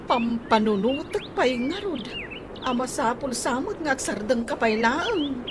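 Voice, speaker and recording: A struggling widowed mother in an Ilocano radio drama. Her voice is high and slow, with a plaintive, helpless wail in the delivery. The recording carries some light noise behind her. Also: lively intonation. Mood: weary, worried. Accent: Filipino (Ilocano).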